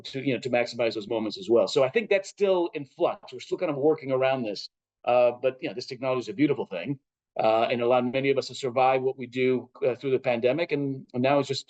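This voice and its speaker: An American man with a mid-pitched voice answers as an interview guest. He explains things expansively and articulately in a conversational style. The recording sounds slightly dull. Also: darkish tone. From Chile and Southern California. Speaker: interview guest, travel editor and broadcaster.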